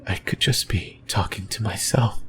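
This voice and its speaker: A man voicing a lonely, possessive sleep paralysis demon in a creepy, intimate ASMR monologue, whispering breathily and haltingly close to the mic. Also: lowish voice, fairly bright tone, close to an everyday voice. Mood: eerie, musing. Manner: slow, halting, rambling, intimate. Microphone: close microphone, whispering into the ear.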